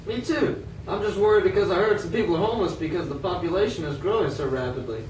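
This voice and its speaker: A worried working family man in an amateur puppet show, acted by a man. His voice is high, heard from a distance in an echoey room. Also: fast, a little mellow, natural voice. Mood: friendly, uneasy. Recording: echoey room, distant mic, faint noise.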